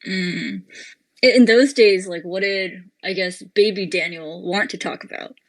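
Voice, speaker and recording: Woman host, mid-pitched, easygoing questioning with frequent laughs, live audio interview. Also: measured pace.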